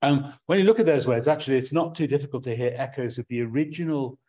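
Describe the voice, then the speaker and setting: A man's voice: mid-range voice, fast, dark tone, lo-fi sound. A middle-aged man with an English accent preaching a sermon from a small room at home, earnest and prone to restarting his words.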